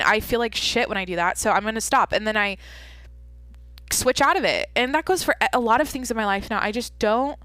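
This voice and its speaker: A woman's voice: mid-range voice, fairly bright tone, dry recording. Rambling, candid young woman, dry. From Washington State, USA. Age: 20s. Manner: rambling, filler-heavy, candid and profane. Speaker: podcast host. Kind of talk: casual solo Q&A podcast.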